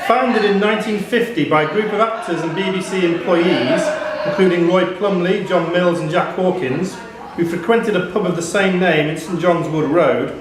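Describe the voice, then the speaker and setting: A man's voice: sits high, moderate pace. A man reading out questions as the host of a live pub quiz. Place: indoor pub quiz venue. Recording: echoey pub room with crowd noise. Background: pub crowd.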